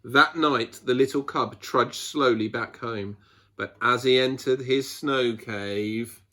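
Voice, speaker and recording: A man reading a picture book aloud to children, expressively, with dramatic pauses and emphasis. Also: mid-range voice, bright, forceful tone. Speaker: children's storyteller.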